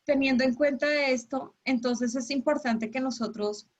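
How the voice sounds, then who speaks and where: Female teacher, Colombian accent, fairly high voice, encouraging, interactive lecture over slightly dull online class audio. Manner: encouraging, checks understanding with questions. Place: online video class with slides.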